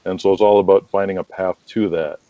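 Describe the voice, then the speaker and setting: A man's voice: low voice, fairly even intonation, brisk, warm tone, no room sound, lo-fi sound. A middle-aged American man telling rambling anecdotes casually as an interview guest. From United States. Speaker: interview guest, wrestling promoter and manager.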